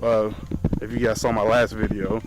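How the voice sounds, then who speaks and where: A man's voice: fairly low pitch, flat delivery, normal speed. A young man talking casually and informally to camera as a fitness vlogger, rambling as he addresses his viewers. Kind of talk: casual talk to camera, vlog. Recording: noisy amateur vlog audio.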